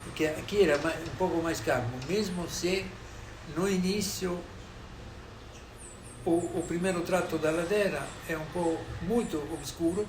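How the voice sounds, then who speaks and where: A man who is a local resident speaks haltingly in a documentary interview, searching for his words. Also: on the high side, fairly even intonation, over loud music. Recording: echoey, noisy outdoor recording.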